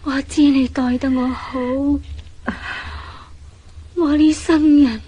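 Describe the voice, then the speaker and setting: A dying daughter-in-law in a Cantonese radio drama from Hong Kong. Her high, soft-toned voice is very slow, weak and full of sad resignation. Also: steady tone, a little hiss or hum. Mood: weak, resigned.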